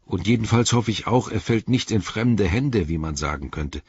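A man's voice: fairly low pitch, expressive, normal speed, a little mellow, slightly rough, muffled recording, pitched above the performer's own voice, exaggerated voice. A man voicing a plain-spoken, loyal but suspicious halfling servant for an audiobook, in a homely, grumbling manner. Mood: grumbling, doubtful. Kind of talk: audiobook character voice.

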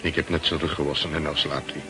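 A man's voice: extremely low pitch, level tone, fast talker, over music. An actor playing a brooding, terse ship's first mate who narrates his story in a Dutch radio play. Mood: uneasy, reflective. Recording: hissy old radio recording.

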